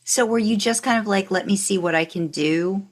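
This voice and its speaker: A woman's voice: medium pitch, moderate pace. A woman interviewing a guest on a podcast in a casual, curious and encouraging way.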